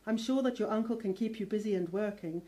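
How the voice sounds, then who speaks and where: A woman's voice: mid-range voice, quick pace, warm tone, close to an everyday voice. A woman playing a kindly, fussing older spinster housekeeper in a radio play. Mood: concerned, insistent. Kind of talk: audio drama acting.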